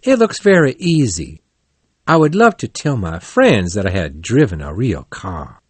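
Storybook role: male reader as crafty, puffed-up fat toad, pompous, very lively pitch, dry close sound. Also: a little slow, slightly rough, dry recording, full character voice. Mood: sweet, pleading. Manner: pompous, theatrical.

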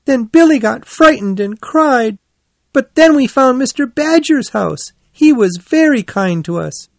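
A man's voice: very high-pitched, animated, unhurried, creaky, dry recording, pitched above the performer's own voice, exaggerated voice. A man voicing a polite, earnest young hedgehog child in a storybook, eager and deferential.

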